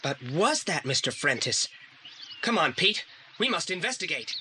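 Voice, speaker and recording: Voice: medium pitch, very animated, moderate pace, sharp tone, slight background noise. An actor playing a brainy, self-assured boy detective in a 1980s audio play, speaking formally and precisely. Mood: alert, deliberate. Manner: pompous, precise, formal wording.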